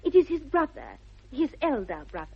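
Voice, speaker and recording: An actress playing a sweet, prim, self-possessed young girl with an upper-class English accent and a soft, breathy voice, demurely formal in a 1960s radio comedy of manners. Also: sits high, wildly swooping pitch, normal speed, full character voice. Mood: demure, confiding. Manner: demure, polite, carefully formal. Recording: dull vintage 1960s radio recording.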